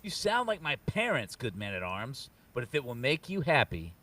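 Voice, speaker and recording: A man's voice: medium pitch, wildly swooping pitch, moderate pace, sharp tone, recorded from a distance, heavily acted. A man playing a carefree, teasing young fantasy prince in a theatrical comic book table read. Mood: teasing, breezy. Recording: voice off the mic.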